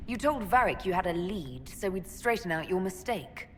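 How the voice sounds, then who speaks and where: An actress voicing a composed, probing leader in a video game, direct and measured, firm but fair. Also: female voice, on the low side, lively intonation, close to an everyday voice. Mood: questioning, stern. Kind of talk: video game dialogue acting. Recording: long hall reverb, faint ambient noise.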